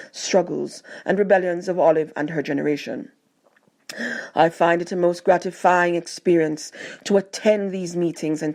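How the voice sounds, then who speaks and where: A woman narrating a scripted personal memoir, reading it aloud with measured, deliberate phrasing. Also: on the low side, a little mellow. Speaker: narrator reading a written tribute.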